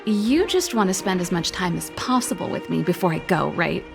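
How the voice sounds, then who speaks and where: A woman's voice: lowish voice, expressive, normal speed, vocal fry, over music. A woman playing a breezy, confident older-sister mechanic in a video game, chatty and teasing with a nostalgic streak. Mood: teasing, resigned.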